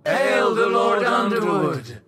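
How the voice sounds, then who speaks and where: A man plays devoted cult followers in a horror audiobook. The cry is pitched far above an ordinary male voice and rings out as a fervent ritual chant. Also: big pitch swings, takes it slowly, fairly bright tone, breathy edge, heavily acted.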